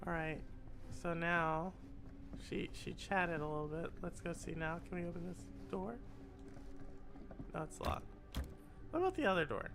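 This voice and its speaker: A streamer with a raspy, congested voice, chatting with viewers and muttering aloud while playing a game live. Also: mid-range voice, animated, moderate pace, long pauses, music in the background. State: congested from a cold. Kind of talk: live gameplay stream with chat.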